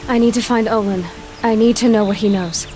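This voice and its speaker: A woman's voice: fairly high pitch, fairly even intonation, brisk, mellow, soft background music, natural voice. A woman playing a blunt, resolute young outlander huntress in a game, speaking in a direct, serious, insistent way. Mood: grave, insistent.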